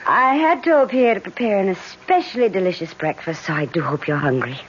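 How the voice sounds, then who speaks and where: An actress playing a concerned, devoted wife who gently fusses, in a radio drama. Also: female voice, medium pitch, animated, a little fast, lightly acted. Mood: solicitous. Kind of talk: 1970s radio drama acting. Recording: dull old radio with faint hiss.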